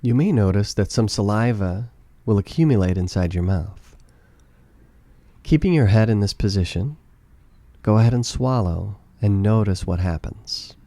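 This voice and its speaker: Low, mellow man guiding a meditation. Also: moderate pace, no echo. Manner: calm, gentle, soothing instruction. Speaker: guided meditation narrator. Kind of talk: slow guided meditation.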